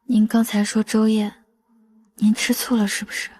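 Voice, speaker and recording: A woman playing a smitten, easily flustered young law-firm worker, earnest and softly probing in acted drama dialogue and inner monologue. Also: mid-range voice, little pitch movement, normal speed, slightly rough, natural voice. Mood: tender, smitten.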